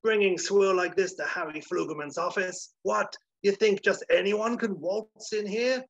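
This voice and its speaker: A man's voice: high-pitched, a little slow, voice pushed up. A man voicing an outraged Hollywood studio boss in a comic story, bellowing indignant questions. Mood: furious. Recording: roomy, dull lo-fi recording.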